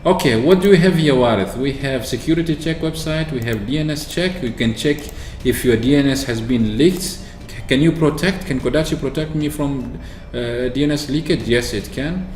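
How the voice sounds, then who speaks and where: A man from Oman chatting casually through a software walkthrough, explaining with fillers and restarts. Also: mid-range voice, moderate pace, few pauses, a little hiss or hum. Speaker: tech video host. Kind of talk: screen-recorded software walkthrough. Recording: echoey room with faint ambience.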